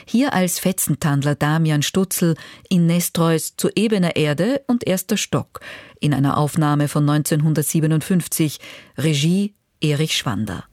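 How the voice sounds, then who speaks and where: A woman's voice: low-pitched, expressive, normal speed, a little mellow, no echo, clean studio sound. A woman radio presenter reading a scripted introduction to archive recordings. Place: dry radio studio.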